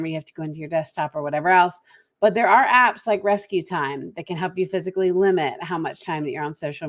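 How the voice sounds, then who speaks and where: A woman with a head cold hosting a solo talk show and giving practical tips in a relaxed, conversational way. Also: lowish voice. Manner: conversational, lively, speeds up and slows down. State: head cold, slightly congested. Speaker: podcast host.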